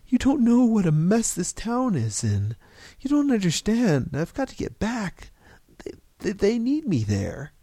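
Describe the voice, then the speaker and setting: A man's voice: high-pitched, wildly swooping pitch, measured pace, dark tone, dry recording, pitched above the performer's own voice, full character voice. A man playing a desperate man in a story reading, gasping, stammering and pleading. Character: desperate, pleading unhappy man wanting his life back.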